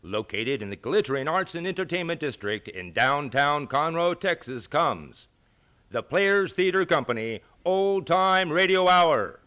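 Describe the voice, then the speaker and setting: A man's voice: on the high side, lively intonation, a little slow, bright, forceful tone. A man announcing in scripted old-time radio style, with grandiose, theatrical showmanship. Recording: live theater show with music.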